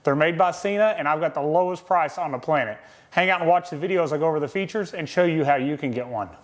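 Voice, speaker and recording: A man pitches motorcycle gear like a shop owner working his customers. His voice sits a bit high for a man, and he talks in an upbeat way, pressing listeners not to miss a deal. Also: fast talker. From Tennessee. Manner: upbeat salesman, urgent deal push. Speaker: audio shop owner presenting products. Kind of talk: product sales pitch.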